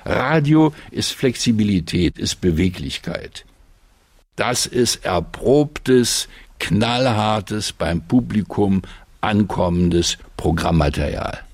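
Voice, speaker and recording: Slow, emphatic man with swooping pitch. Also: lively intonation, no echo. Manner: emphatic, declarative. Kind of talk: opinionated argument.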